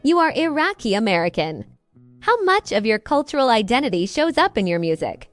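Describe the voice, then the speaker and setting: A woman interviewer reads her prepared questions in a formal way, with a higher, lively voice. The sound is dry and close, with faint music beneath. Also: a little fast, dry recording.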